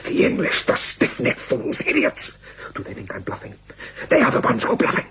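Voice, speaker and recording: A man's voice: moderate pace, close to an everyday voice. Male actor as volatile middle-aged dictator, declamatory, radio drama, faintly noisy recording. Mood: furious, scornful.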